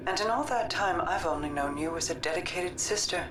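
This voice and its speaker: An actress playing a calm, wise, probing female confidante in a video game, with a measured, grave delivery. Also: lowish voice, close to an everyday voice. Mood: solemn, compassionate. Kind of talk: scripted video game dialogue. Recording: distant echoey game audio with music.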